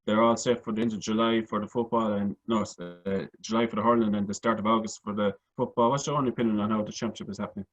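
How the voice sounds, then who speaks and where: A man's voice: lowish voice, fairly even intonation, quick pace, few pauses, highs cut off. A man hosting a sports panel, putting meandering, hesitant questions to guests. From Ireland. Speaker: sports show host.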